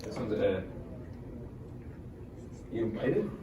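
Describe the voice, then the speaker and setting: A man's voice: fairly low pitch, sing-song, slow pace, lo-fi sound. A man chatting casually as a guest judge in short remarks. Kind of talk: casual chat. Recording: echoey, distant mic, background noise.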